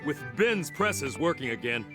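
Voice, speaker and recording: An actor voicing a calm, thoughtful man in a cartoon, speaking in a measured way. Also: fairly high pitch. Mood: wary. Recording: music behind.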